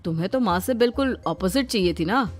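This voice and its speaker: A woman's voice: medium pitch, lively intonation, quick pace, soft background music, voice pushed down, close to an everyday voice. Woman as a reproachful young cartoon husband. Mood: teasing. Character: puzzled, teasing young husband.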